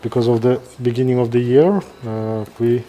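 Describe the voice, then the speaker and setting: A middle-aged man with a Kazakh accent gives informal live commentary as a surgeon, halting and pausing mid-sentence. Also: medium pitch, steady tone, warm tone, a little hiss or hum, low-quality recording. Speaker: neurosurgeon presenting a live case.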